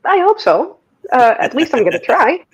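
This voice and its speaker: Dutch-accented woman, rambling and warm. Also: sits high, big pitch swings, normal speed, husky. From Netherlands. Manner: rambling, frequent fillers and restarts. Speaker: guest. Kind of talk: casual interview conversation.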